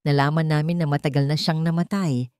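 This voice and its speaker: Low-pitched woman narrating a drama. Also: fairly even intonation, brisk, darkish tone, clean tone, dry recording, clean studio sound. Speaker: story narrator. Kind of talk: scripted dramatic first-person narration of a romance drama.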